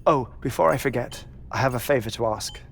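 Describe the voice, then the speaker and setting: A man's voice: mid-range voice, brisk, vocal fry, over music, lightly acted. An actor in a game cutscene playing an eccentric, melancholy middle-aged man, with hesitant, stammering, formally worded speech. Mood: polite, calm. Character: eccentric, melancholy middle-aged man living in twenty-one-minute cycles. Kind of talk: video game cutscene acting.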